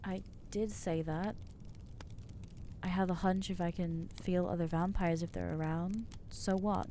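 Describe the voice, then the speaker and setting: A woman's voice: on the low side, fairly even intonation, measured pace, mellow, a little hiss or hum, close to an everyday voice. A woman voicing an anxious, self-loathing young female vampire in an ASMR audio roleplay, talking hesitantly and putting herself down. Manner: hesitant, self-deprecating, trailing off.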